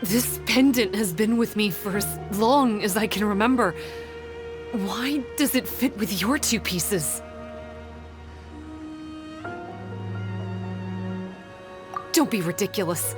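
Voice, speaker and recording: A woman plays a young half-serpent outcast in a video game drama. She speaks slowly and haltingly in a medium-pitched voice, solemn, wistful and guarded. Faint music sits behind the words. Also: lightly acted. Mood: shaken. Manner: halting, solemn, with weighty pauses.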